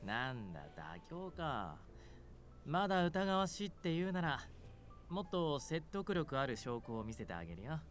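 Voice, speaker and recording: Playful demon king, lively range. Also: fairly high pitch, normal speed, faint music behind. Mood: teasing. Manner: playful, teasing, smooth flirting. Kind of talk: Japanese visual novel voice acting.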